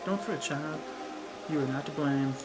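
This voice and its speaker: A man voicing a kindly, pious aging priest in a gentle, reassuring manner for a story reading. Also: on the high side, normal speed, loud music behind the voice, close to an everyday voice. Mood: consoling.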